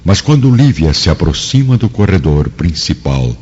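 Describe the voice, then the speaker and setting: A man's voice: low voice, big pitch swings, normal speed, darkish tone, husky. A man with a Brazilian accent giving dramatic scripted narration for a radio drama. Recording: dull lo-fi audio with music.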